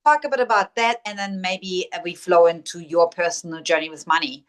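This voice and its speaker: A woman's voice: lowish voice, fairly quick. A woman hosting a spiritual podcast, affirming her guest and talking about intuition and soul guidance. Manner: warm affirming, spiritual vocabulary. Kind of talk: casual interview chat.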